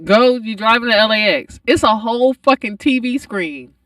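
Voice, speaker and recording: A man's voice: high-pitched, lively intonation, moderate pace, sharp tone, dry recording, clean studio sound, recorded from a distance. A man co-hosting a comedy podcast, joking and laughing through his stories. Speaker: podcast co-host. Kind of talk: casual comedic banter.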